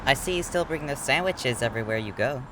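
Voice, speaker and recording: A man's voice: medium pitch, lively intonation, fast talker, background noise, natural voice. A man playing a dry, introverted but fond young man in an audio drama, with understated teasing. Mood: wry.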